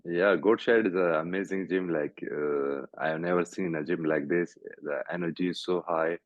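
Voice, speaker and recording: A young Pakistani man, a fighter, answering interview questions over a video call in halting English full of "like" and "you know". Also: low voice, no room sound. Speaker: guest fighter. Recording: tinny call audio, dry.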